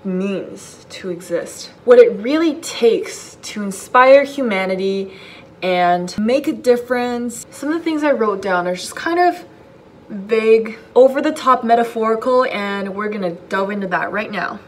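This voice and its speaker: A woman's voice: mid-range voice, expressive, a little slow, a little hiss or hum. Jokey young North American woman vlogging. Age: late teens. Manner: self-mocking, jokey and theatrical. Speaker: YouTuber. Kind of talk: casual vlog chat with dramatic readings. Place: basement room at home, indoors. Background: background music.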